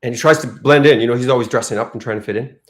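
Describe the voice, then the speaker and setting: A man's voice: medium pitch, very fast. A man hosting a casual livestream, chatting loosely and reading comments aloud. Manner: loose, chuckling, reads chat aloud. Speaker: livestream host.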